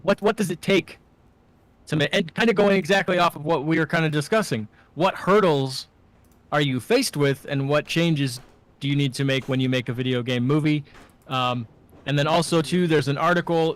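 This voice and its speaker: A man's voice: a little high, moderate pace, no room sound. A young man co-hosting a podcast in casual chat, rambling and often restarting his sentences. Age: young adult. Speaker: podcast co-host and student filmmaker.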